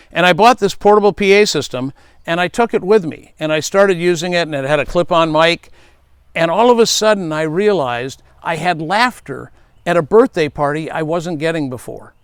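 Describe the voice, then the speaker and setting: A man's voice: a little high, clean tone, dry recording, clean studio sound. A middle-aged man, a safety speaker, giving earnest advice and telling stories from his past. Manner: earnest, advising, storytelling. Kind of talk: speaking tip to camera.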